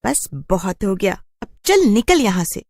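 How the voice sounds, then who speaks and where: A cranky village witch from a horror cartoon. Her voice sits very high and swings about as she scolds indignantly. She guards her territory fiercely. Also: very animated, measured pace, a little mellow, no echo, studio quality.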